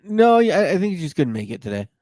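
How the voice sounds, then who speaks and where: A man's voice: fairly high pitch, sing-song, fast, darkish tone, no echo, clean studio sound. A man hosting a podcast in casual chat, giving short agreements and wrapping up. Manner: short agreeing backchannels, reads chat comments.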